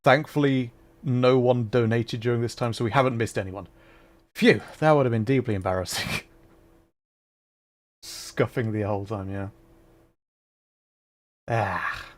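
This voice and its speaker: A man's voice: medium pitch, unhurried, pauses often. Casual man chatting on a livestream. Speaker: livestreamer, VTuber. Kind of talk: casual livestream chat while playing a game.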